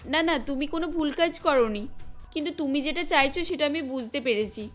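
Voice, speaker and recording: Woman voicing a high, plaintive cartoon young hijra. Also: brisk, natural voice. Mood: reluctant, troubled. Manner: plaintive, questioning. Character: sad, hesitant young hijra longing for motherhood. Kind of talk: cartoon story dialogue. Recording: music behind the voice.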